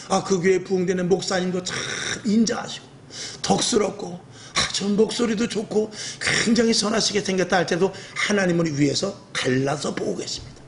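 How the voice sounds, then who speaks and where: High-pitched rough-voiced male pastor preaching. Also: slight background noise. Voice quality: rough, earthy voice. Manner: lively, acts out quoted speech, self-mocking humor. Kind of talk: evening church sermon.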